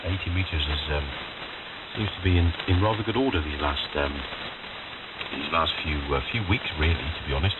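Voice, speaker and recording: A man's voice: very deep voice, fairly quick, distant mic. A man chatting politely and hesitantly as an amateur operator over shortwave radio. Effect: shortwave radio transmission. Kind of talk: casual radio chat. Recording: narrow-band radio buried in loud static.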